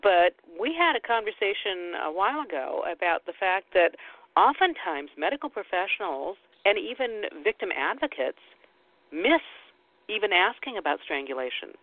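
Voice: mid-range voice, big pitch swings, moderate pace, no room sound. Radio host, direct, presses the guest, dry narrow phone-line sound. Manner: direct, interrupting to press a point. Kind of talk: radio interview.

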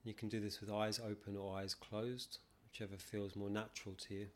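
A man leading a guided meditation as a teacher, calm and measured, leaving long pauses. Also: lowish voice, a little hiss or hum. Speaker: meditation teacher, podcast guest. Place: non-soundproofed room.

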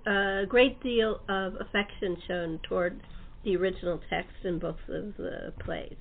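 A woman hosting a casual interview, speaking informally and appreciatively, with halting phrasing. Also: medium pitch, fairly even intonation, creaky. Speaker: talk show host. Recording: muffled, noisy, band-limited line.

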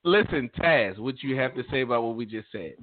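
A man's voice: lively intonation, a little fast. A man hosting a casual call-in talk show, often repeating words for emphasis. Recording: muffled low-bandwidth online call audio.

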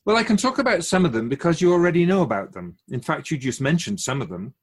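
A man playing an otherworldly, patient keeper of souls in a radio drama, asking measured, probing questions in a husky voice. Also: on the high side, very animated. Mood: calmly instructive.

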